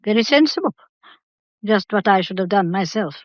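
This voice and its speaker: Actress as elderly English matriarch, radio mystery. Brisk, probing, unshakeable. Dry, dull recording. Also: female voice, medium pitch, lively intonation, no room sound, close to an everyday voice. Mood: imperious, probing. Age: older. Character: sharp, unflappable old grandmother of a well-off household. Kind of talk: radio mystery drama acting. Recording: dull, muffled lo-fi audio.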